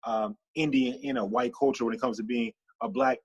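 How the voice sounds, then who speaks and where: A man speaking as a podcast guest in a casual interview, animated and stacking long repeated lists as he talks. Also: mid-range voice, brisk, breathy edge, muffled recording.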